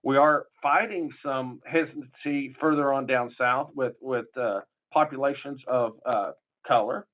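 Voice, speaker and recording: A man's voice: mid-range voice, measured pace. Folksy southern Illinois man on tinny line. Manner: folksy, rambling, many uh fillers and restarts. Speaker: public health association presenter. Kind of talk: conference presentation. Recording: tinny phone-like audio.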